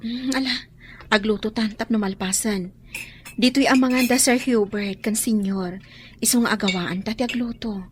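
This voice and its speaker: Woman acting a high-voiced, sensible young housemaid. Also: moderate pace, slight background noise, lightly acted. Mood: questioning, cautious. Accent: Filipino. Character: friendly, sensible young female housemaid, cautious. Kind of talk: Ilocano radio drama acting.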